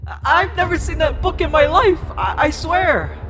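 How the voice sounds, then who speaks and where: A man putting on a high, trembling, pleading changeling. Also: normal speed. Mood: panicked. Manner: stammering, trembling, desperate. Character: frightened pleading young male changeling on trial. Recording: loud music bed underneath.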